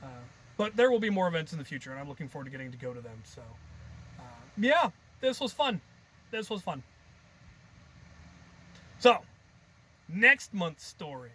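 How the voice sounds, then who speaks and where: A man's voice: fairly high pitch, sing-song, normal speed, long pauses, sharp tone, husky. A man telling stories in a casual, rambling vlog style, thinking aloud with a jokey, self-deprecating manner. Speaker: hobby video creator and host.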